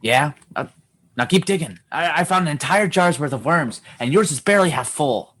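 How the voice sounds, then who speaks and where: A woman's voice: deep voice, expressive, moderate pace, fairly bright tone, slight background noise. A woman playing a cocky, mischievous know-it-all child in comedy radio theatre, insistent and blustering. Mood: bossy, gleeful.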